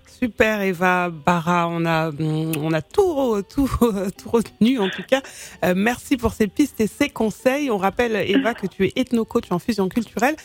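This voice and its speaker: A woman's voice: medium pitch, moderate pace, faint music behind. A woman hosting a radio interview, giving brief friendly prompts and thanks. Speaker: radio host.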